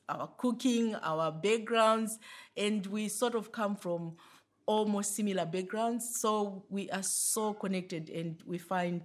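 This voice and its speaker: A woman with a mid-range voice, talking in an interview. She is a member of a mothers' group and speaks with enthusiasm, repeating words for emphasis. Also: medium pitch. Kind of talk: interview answer.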